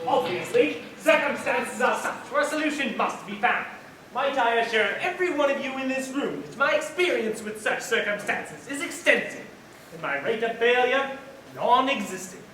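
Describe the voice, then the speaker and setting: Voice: mid-range voice, lively intonation, moderate pace, bright, forceful tone, faint music behind. A teenager playing a pompous, self-assured detective inspector in a stage mystery spoof. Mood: pompous, officious. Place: large school theatre stage, filmed from afar. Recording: echoey auditorium from far off, faint noise.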